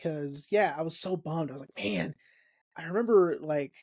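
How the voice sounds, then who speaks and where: Higher-pitched dude on a podcast, chatty, you-know this like that. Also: male voice, normal speed, vocal fry, a little mellow. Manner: chatty, enthusiastic, lots of fillers. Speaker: podcast co-host. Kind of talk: casual chat. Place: home recording.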